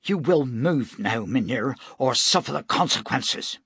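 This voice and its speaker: A man's voice: mid-range voice, animated, a little slow, fairly bright tone, slightly rough, no echo, clean studio sound, pitched above the performer's own voice, full character voice. A man doing a firm young lawman. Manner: firm, threatening.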